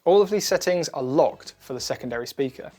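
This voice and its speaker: A man presenting a scripted product demo in a clear, methodical, instructional manner. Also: a little high, animated, fairly quick, dark tone. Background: background music.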